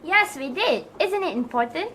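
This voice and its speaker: A woman's voice: extremely high pitch, lively intonation, moderate pace, fairly bright tone, slight background noise. A woman playing an eager, studious know-it-all schoolgirl in an amateur puppet show. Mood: earnest. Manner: keen, recites textbook answers.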